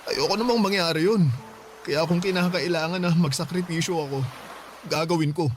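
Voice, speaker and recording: An actor playing a gentle, self-sacrificing young student boyfriend, earnest and sorrowful, in a Tagalog radio drama. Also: male voice, fairly high pitch, fairly quick, faint music behind, natural voice. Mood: sad, resigned. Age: young adult. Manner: soft-hearted, earnest, sorrowful.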